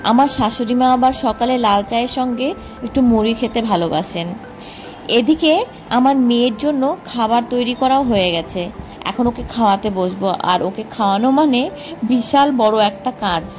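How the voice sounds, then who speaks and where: High-pitched Bengali woman vlogger over music. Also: brisk, a little mellow. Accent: Indian Bengali. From India. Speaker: homemaker vlogger and mother of a young child. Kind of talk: daily vlog narration and chat with her child. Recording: music laid under the voice.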